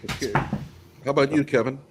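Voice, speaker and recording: A man's voice: medium pitch, normal speed. A man hosting a live panel podcast, introducing guests in a conversational way. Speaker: podcast host. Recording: clearly noisy room ambience.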